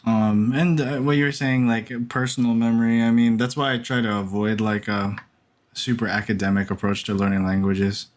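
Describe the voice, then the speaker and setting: Low-voiced easygoing young man. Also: little pitch movement, normal speed. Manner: easygoing, with frequent short agreements and laughs. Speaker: podcast co-host. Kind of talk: casual chat.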